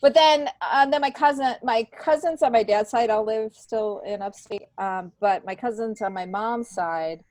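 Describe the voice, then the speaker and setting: A woman's voice: on the high side, moderate pace, hardly any pauses, a little mellow, no echo. A middle-aged American woman from upstate New York talks in a chatty, informal way as a podcast guest. She laughs easily, and the sound is dry with hardly any room in it. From upstate New York, United States. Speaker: podcast guest, stand-up comedian and writer. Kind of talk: casual interview chat.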